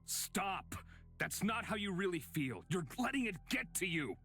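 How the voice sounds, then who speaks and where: A man's voice: sits high, big pitch swings, measured pace, bright, forceful tone, soft background music, heavily acted. A man playing a cocky, jokey leader of a travelling band in a visual novel, brash and sardonic. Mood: stern.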